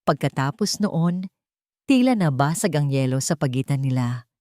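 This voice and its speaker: Expressive, lower-voiced woman storyteller. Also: moderate pace, dry recording, clean studio sound. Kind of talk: scripted dramatic romance narration.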